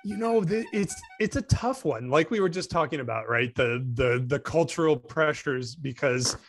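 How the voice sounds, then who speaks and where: A man's voice: a little high, animated, normal speed, soft background music. A man co-hosting a swimming podcast, chatting casually and thinking aloud with frequent restarts. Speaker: podcast co-host. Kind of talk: casual sports podcast chat.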